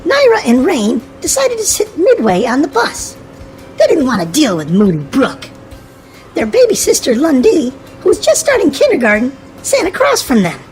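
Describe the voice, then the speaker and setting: A woman reading a children's storybook aloud in an expressive, animated way. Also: sits high, normal speed, faint music behind, low-quality recording. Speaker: storybook narrator.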